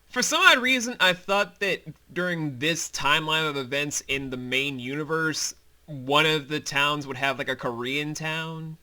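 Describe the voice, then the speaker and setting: Bright, high-voiced man giving casual commentary. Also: measured pace. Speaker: host and director of a fantasy audio series. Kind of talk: casual behind-the-scenes commentary.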